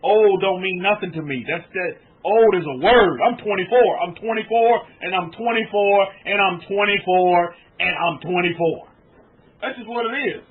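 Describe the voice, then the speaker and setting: A man preaching a sermon, exhorting his listeners, whom he calls family, and repeating his points again and again. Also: sits high. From United States. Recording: tinny phone-like line.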